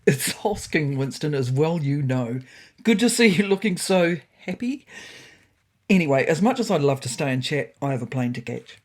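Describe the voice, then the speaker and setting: A woman's voice: deep voice, very animated, moderate pace. A woman voicing a pompous, opinionated male cat in a storybook, talking with mocking, derisive amusement. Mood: smug.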